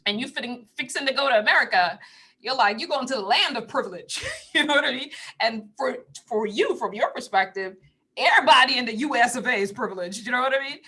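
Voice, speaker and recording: A woman with a lilt talks casually to her live-stream audience in a bright, clear tone. She rambles from thought to thought, laughs often and drops into hushed asides. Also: on the high side, lively intonation, quick pace. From Trinidad. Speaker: spiritual teacher on a live stream.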